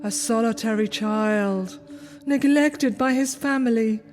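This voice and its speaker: Woman doing a grave spirit, commanding and slow, long echo effect, soft music behind. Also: a little high. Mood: solemn. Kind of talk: radio drama acting. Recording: reverb effect on the voice.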